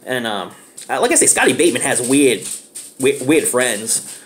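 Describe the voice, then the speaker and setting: A man in his thirties talks through a movie review in a small living room, speaking straight into his webcam. He rambles eagerly and fills gaps with ums, and his pitch swings high and low as he gets excited. It is casual and unscripted, like a home video. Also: normal speed. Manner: rambling, enthusiastic, lots of fillers.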